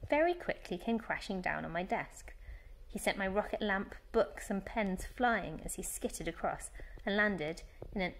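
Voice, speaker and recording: A woman reading a children's storybook aloud as a teacher, with animated, dramatic emphasis. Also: mid-range voice, a little hiss or hum, poor audio. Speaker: primary school teacher reading story time.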